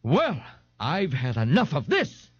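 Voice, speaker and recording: A man's voice: fairly high pitch, sing-song, moderate pace, muffled recording, pitched above the performer's own voice. A man voicing a pompous, indignant fat man who speaks in a grave, grandiloquent, formal way in an audiobook. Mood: grandly irritated.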